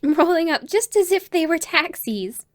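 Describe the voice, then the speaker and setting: A woman's voice: extremely high pitch, expressive, a little slow, dry recording, clean studio sound, pitched above the performer's own voice. A woman voicing a curious, restless little girl, in a storybook reading. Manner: bright, eager, restless.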